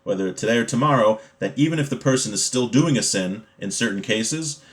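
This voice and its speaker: A man's voice: mid-range voice, animated, brisk. A man teaching an informal Torah class, explaining religious law conversationally with everyday examples.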